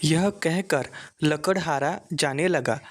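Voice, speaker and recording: A man's voice: fairly high pitch, slow, soft background music. A man narrating a scripted children's folktale as the storyteller.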